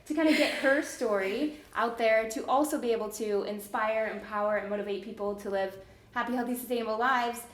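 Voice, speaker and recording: A woman hosts a podcast and opens it in an upbeat, encouraging way, stretching some phrases out slowly. Her voice is a bit higher than average and echoes around the room. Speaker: podcast host. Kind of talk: casual interview.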